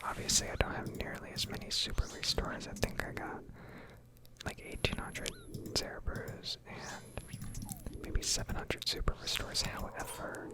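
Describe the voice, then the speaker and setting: A woman giving casual, rambling game commentary in a soft ASMR whisper, hesitating as she goes, close to the microphone. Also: unhurried. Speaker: gaming video host.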